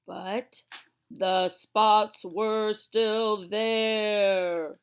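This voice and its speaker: A woman's voice: mid-range voice, fairly even intonation, very slow, clear, resonant tone. A woman reading a storybook aloud for young children in a theatrical way, drawing out words for emphasis.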